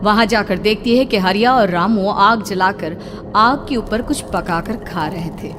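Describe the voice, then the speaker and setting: A woman's voice: medium pitch, unhurried, noisy background. A woman delivering scripted narration of a horror story. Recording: clear music under the voice.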